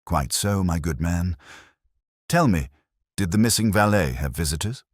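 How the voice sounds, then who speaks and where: A man voicing a precise, commanding, analytical detective in an audio story, speaking in clipped, deliberate phrases. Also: sits low, lively intonation, no echo, clean studio sound.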